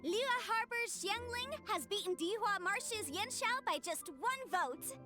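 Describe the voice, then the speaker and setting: A woman's voice: very high voice, lively intonation, unhurried, pushed and bright, full character voice. A woman playing a courteous, ceremonious contest officiator in a video game, announcing formally. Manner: formal, clearly projected, ceremonious. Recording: music playing behind the voice.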